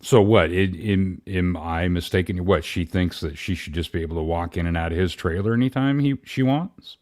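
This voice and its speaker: A man's voice: deep voice, moderate pace, a little mellow. A man co-hosting a casual commentary chat in a blunt, colloquial way. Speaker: co-host.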